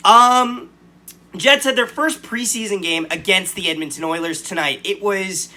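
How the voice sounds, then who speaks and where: A man hosts a hockey recap with a fairly high, piercingly bright voice. It has a slight scratch to it. He talks informally and animatedly, restarting his thoughts as he goes. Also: normal speed. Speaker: sports podcast host. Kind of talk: hockey game recap commentary to camera.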